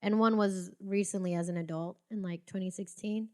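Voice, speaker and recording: A woman's voice: mid-range voice, steady tone, a little fast, dark tone, clear, resonant tone. A young American woman, a podcast guest, chatting casually with lots of "like" and frequent laughter. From United States. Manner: chatty, laughs often, heavy use of "like". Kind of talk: casual chat.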